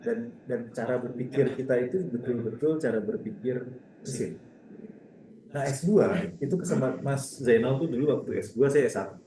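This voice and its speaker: Male lecturer moderating a talk, conversational delivery, mid-pitched, recorded in an echoey room. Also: normal speed. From Indonesia. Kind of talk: informal closing remarks to students. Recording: echoey room with clear background noise.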